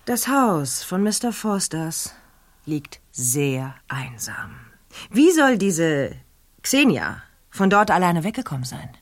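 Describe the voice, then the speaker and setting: An actress playing a sharp female police detective in a radio play, questioning a suspect with persistent, sarcastic probing. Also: fairly low pitch, very animated, slow.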